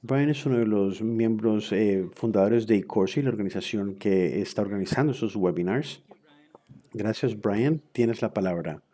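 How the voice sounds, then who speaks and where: A man's voice: on the low side, normal speed, mellow. A man interpreting a webinar lecture into another language in real time, hesitant, with frequent fillers and self-corrections.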